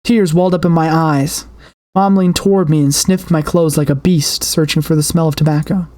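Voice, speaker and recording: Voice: mid-range voice, fairly even intonation, moderate pace, mellow. A streamer reading a horror story aloud with dramatic expression and the occasional stumble.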